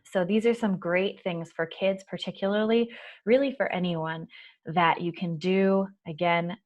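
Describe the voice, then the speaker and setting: A woman hosting a children's storytime, reading and explaining in a soft-spoken, reassuring, measured way. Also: lowish voice, steady tone. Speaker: children's library storytime host. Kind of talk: gentle picture-book reading and explaining to children.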